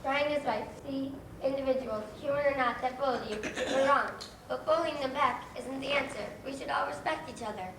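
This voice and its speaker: A woman playing a gentle, wise worm king in a children's stage play, speaking earnestly. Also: high-pitched, a little fast. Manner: earnest, instructive delivery. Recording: distant echoey stage, clear background noise.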